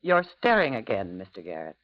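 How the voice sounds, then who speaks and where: A woman's voice: rumbling low, huge pitch swings, a little fast, exaggerated voice. An actress playing a cold, scheming American woman in a 1950s radio drama, curt, commanding and composed. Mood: icy, guarded. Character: cold, domineering, scheming woman partner in crime. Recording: dull, lo-fi 1950s radio recording.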